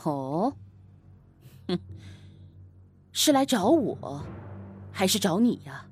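A woman's voice: medium pitch, big pitch swings, extremely slow, faint music behind. A woman playing a sarcastic young husband and official in a Chinese costume audio drama, with a pointed, snorting delivery. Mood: jealous, sarcastic.

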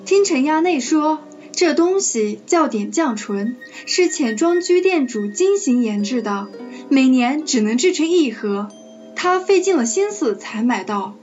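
A woman's voice: high voice, expressive, a little slow, darkish tone, muffled recording. A woman playing a polite young maidservant in a period audio drama, speaking deferentially. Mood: hesitant. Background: faint music.

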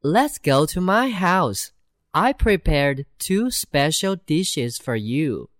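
A woman voicing a simple, cheerful country mouse in a children's storybook reading, slow and wide-eyed. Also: low-pitched, very animated, no echo, clean studio sound, exaggerated voice. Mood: welcoming.

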